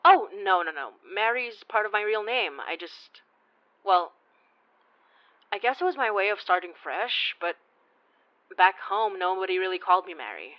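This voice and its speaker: A woman's voice: mid-range voice, expressive, normal speed, pauses often, dull audio, lightly acted. A woman playing a friendly, weary investigator of the supernatural, speaking in a casual, conversational, sometimes hesitant way. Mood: open, casual. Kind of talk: naturalistic audio drama acting.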